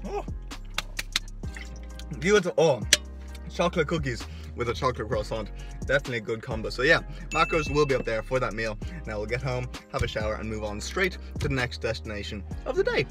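A man's voice: mid-range voice, very animated, a little fast. A young man in his twenties with an Irish accent, vlogging a food challenge, exclaiming and laughing between bites while filming himself at arm's length in a parked car. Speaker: fitness vlogger. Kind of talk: casual food vlog. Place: parked car, and a kitchen at home. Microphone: camera held at arm's length. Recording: music behind. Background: music.

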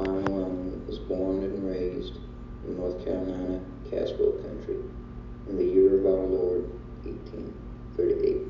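Male diary reader, low and solemn, far from the mic with background noise. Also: gravelly. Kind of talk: solemn reading of an old diary. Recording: muffled, very echoey, distant, noisy rumble.

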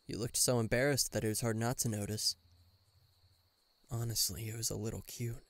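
A man's voice: medium pitch, no room sound, close to an everyday voice. A man playing a guarded government bodyguard in an intimate listener roleplay, dryly teasing and reluctantly tender. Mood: calm, protective. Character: gruff, guarded male government bodyguard, secretly fond.

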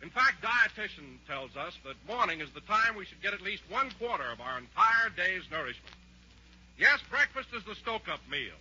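A man's voice: sits high, big pitch swings, moderate pace, pushed and bright, slightly rough. An American man giving a cheery, folksy 1940s radio commercial as an announcer. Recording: dull, muffled 1940s radio recording.

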